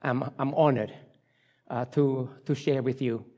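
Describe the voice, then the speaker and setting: A man in his sixties from Cambodia, speaking English with a Cambodian accent, gives a halting personal testimony to a church congregation, full of fillers and restarts. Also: mid-range voice, fairly even intonation, dark tone. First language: Khmer. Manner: halting, many fillers and restarts, light self-deprecating laughs.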